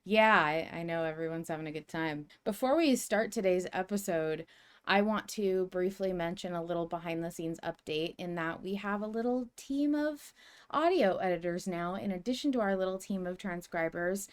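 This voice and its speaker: A woman's voice: lowish voice, moderate pace, clean tone. A woman hosting a podcast in a casual, conversational style. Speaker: podcast host.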